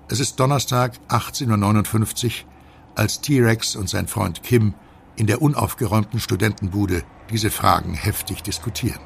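An actor playing the mysterious narrator of a conspiracy thriller, measured and suspenseful. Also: male voice, on the low side, creaky, slight background noise. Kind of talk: scripted narration.

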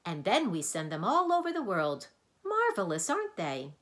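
A woman reading a storybook aloud and voicing a brisk male guide who gives loud, instructing remarks. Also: medium pitch, wildly swooping pitch. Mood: officious.

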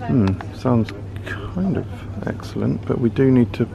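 British male food vlogger, gravelly, chatty and curious, handheld mic in busy store noise. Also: mid-range voice, lively intonation, measured pace. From United Kingdom. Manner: chatty, curious, exclaiming over products. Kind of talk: casual running commentary while shopping. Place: large supermarket aisles, indoors.

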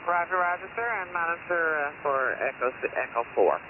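A man's voice: fairly high pitch, animated, moderate pace. A man working as an air traffic radio operator, speaking in aviation phraseology over an HF radio link. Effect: HF radio transmission. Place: received over long-distance HF radio. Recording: narrow-band, hissy shortwave radio reception. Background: loud static hiss.

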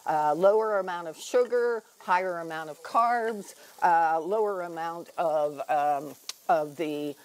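A woman's voice: on the low side, takes it slowly, darkish tone. An older woman, a guest cook, chatting while she demonstrates a recipe in a TV studio kitchen, with many ums as she explains. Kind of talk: cooking demonstration chat.

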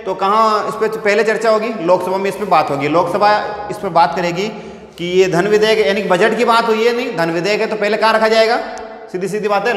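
A man's voice: high-pitched, a bit bright. A man teaching a class, repeating phrases and posing rhetorical questions. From India. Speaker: school teacher. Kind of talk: classroom lecture at a whiteboard. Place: indoor classroom. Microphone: clip-on lapel microphone. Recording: echoey, reverberant room.

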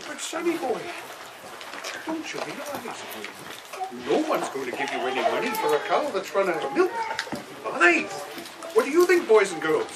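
Sly old trader man, acted by a puppeteer, hearty cajoling, animated, noisy distant outdoor recording. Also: mid-range voice, normal speed, long pauses. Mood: jolly, coaxing. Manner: hearty, cajoling, addresses children. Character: sly old pedlar man with magic beans, jolly and coaxing. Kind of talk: live children's puppet show. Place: outdoor garden puppet booth. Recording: outdoor far camera, noisy background.

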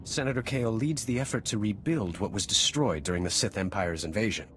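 A man plays an earnest liaison in a game. His voice sits somewhat low and his speech is formal and courteous, over faint ambience. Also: brisk, fairly bright tone, slight background noise, natural voice. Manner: formal, polished, deferential. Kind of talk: video game cutscene acting.

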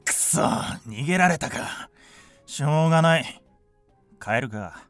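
A man playing a hot-headed, vengeful village hunter in a radio drama, rough and blustering. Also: a little high, lively intonation, takes it slowly, a bit bright, no room sound, soft background music. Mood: grumbling.

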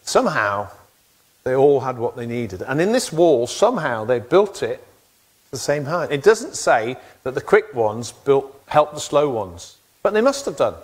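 A middle-aged man preaching on a church stage, his voice rising and falling in a lively way as he makes his points. He sounds animated and conversational, and he is picked up close by a headset mic. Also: mid-range voice, unhurried, slightly rough. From England. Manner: animated, gestures widely while pacing the stage. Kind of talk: sermon. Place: church stage with wooden backdrop.

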